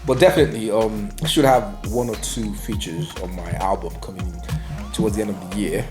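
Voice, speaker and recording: A man's voice: fairly low pitch, a little fast. Guy, musician guest, hyped and boastful, music playing under him. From Nigeria. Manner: animated and boastful, with slang and shout-outs. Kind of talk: casual interview chat.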